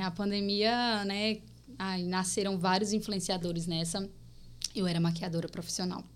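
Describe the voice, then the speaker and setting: A young woman from Paraíba with a mixed Northeastern Brazilian accent, chatting casually as a podcast guest. She tells her stories in a lively way, often turning to the listeners, at an ordinary, medium pitch. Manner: lively storytelling, often addressing listeners as "gente".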